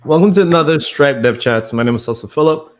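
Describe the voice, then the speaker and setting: A man's voice: fairly high pitch, fast. Upbeat man hosting a livestream. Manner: upbeat, welcoming, enthusiastic. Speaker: livestream host, developer advocate. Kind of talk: casual livestream chat.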